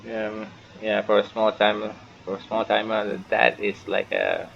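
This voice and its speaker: A man's voice: lowish voice, completely flat delivery, a little slow, gravelly, lo-fi sound, slight background noise. A man giving casual playthrough commentary as a gaming host, muttering to himself and reacting aloud. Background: game music.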